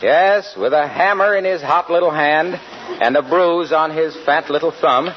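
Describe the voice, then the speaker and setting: A man's voice: on the high side, moderate pace, slight background noise. An American man announcing in a scripted 1940s radio sales style. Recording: muffled vintage radio broadcast with music.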